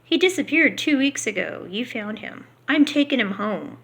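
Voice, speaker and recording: A woman reading a book aloud unrehearsed, halting here and there and slipping into casual asides. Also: on the high side, lively intonation, creaky, fairly bright tone, slightly rough.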